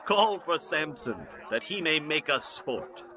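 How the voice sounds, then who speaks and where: A gloating, lively Philistine reveller. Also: male voice, a little high, unhurried, a crowd in the background. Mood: merry, mocking. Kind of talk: dramatized scripture reading. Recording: clear background noise.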